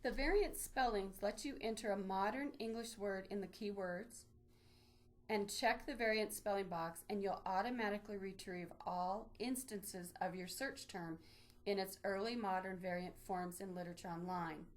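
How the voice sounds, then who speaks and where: A woman's voice: medium pitch, moderate pace. A woman giving an informal, unscripted instructional walkthrough, explaining things step by step as she demonstrates on screen. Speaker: librarian giving a database tutorial.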